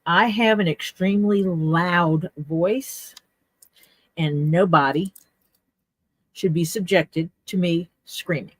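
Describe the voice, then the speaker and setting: A woman's voice: fairly low pitch, takes it slowly, no room sound. Chatty woman crafting on a dry mic. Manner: chatty, explains tools step by step while working. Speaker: leatherworker livestreaming her work. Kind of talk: casual livestream chat while crafting. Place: home workspace.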